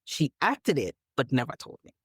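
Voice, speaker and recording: A woman's voice: very deep voice, big pitch swings, normal speed, breathy edge, no room sound. Conversational woman, close dry sound. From Canada. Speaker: storyteller and podcast guest. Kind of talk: conversational podcast chat.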